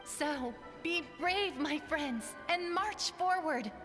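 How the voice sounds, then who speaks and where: A woman playing a solemn, encouraging mentor in a fantasy game, speaking gravely. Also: sits high, slow, a bit bright. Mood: solemn, rousing. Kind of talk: video game voice acting. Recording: reverberant hall sound under music.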